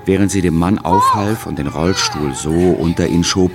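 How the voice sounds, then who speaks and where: A man narrating a German radio play as a solemn, measured literary storyteller, quietly enchanted by what he observes. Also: low-pitched, over loud music.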